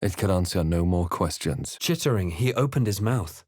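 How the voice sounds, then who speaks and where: Grave fantasy adventurer, animated range. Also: male voice, low-pitched, normal speed, no echo, studio quality. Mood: grave, narrating. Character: male adventurer hero, grave, watchful, wry fantasy spellcaster. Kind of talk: video game voice lines, dramatic narration and incantations.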